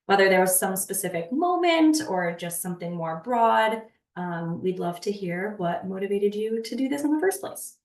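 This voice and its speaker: A woman's voice: medium pitch, lively intonation, moderate pace, darkish tone, distant mic. Brief: female moderator, off-mic and echoey, gracious question delivery. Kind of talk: panel moderation.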